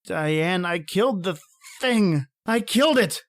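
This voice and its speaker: Man acting a high-pitched, anguished horror hero. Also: lively intonation, fairly bright tone, no echo, studio quality, full character voice. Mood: grieving, horrified. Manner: overwrought, halting, pleading. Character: anguished desperate man, grieving, defiant against a monster. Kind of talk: old-time horror radio acting.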